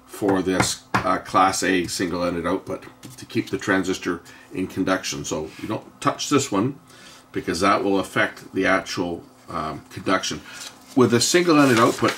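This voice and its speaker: A man giving an unscripted, hands-on technical explanation in a halting way, often pausing and repeating himself to correct what he says. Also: low-pitched, fairly bright tone, slight background noise. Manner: halting, self-correcting, with frequent pauses and repeats. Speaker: host of an electronics repair channel.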